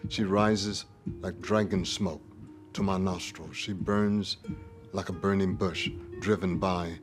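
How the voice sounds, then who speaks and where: A man giving a solemn, measured dramatic reading of poetry. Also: low voice, fairly even intonation, over music.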